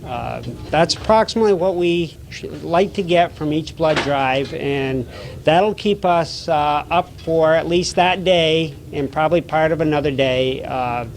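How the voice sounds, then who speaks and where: A man's voice: fairly high pitch, measured pace, slight background noise. Hesitant man, lots of uhs. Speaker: blood bank staff. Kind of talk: casual interview. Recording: chatter from a busy crowd behind.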